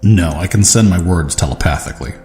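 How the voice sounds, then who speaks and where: A man voicing a gruff, brisk shapeshifting dragon scout in an audio drama. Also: sits low, mellow, reverberant, faint music behind, natural voice. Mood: brisk, matter-of-fact.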